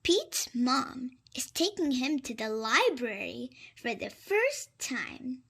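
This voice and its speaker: A woman reads a children's picture book very slowly in a high, bright voice with a creaky edge. She is expressive and full of exaggerated wonder for young listeners. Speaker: children's storybook reader. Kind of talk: slow, expressive picture-book read-aloud.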